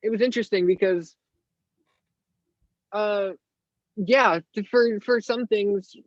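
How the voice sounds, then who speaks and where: Young guy, 18, kinda high voice, rambly and honest, muffled dry audio. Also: takes it slowly, no room sound. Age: teens. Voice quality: higher-pitched voice. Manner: candid, rambling with many fillers. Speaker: guest artist. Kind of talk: casual interview chat.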